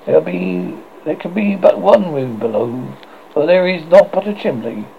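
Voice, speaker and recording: A man's voice: high-pitched, animated, moderate pace, creaky, a little hiss or hum, pitched above the performer's own voice, heavily acted. A man voicing a vain, menacing pirate captain in an audiobook reading, with a dramatic, growling delivery. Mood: scheming. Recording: dull lo-fi recording.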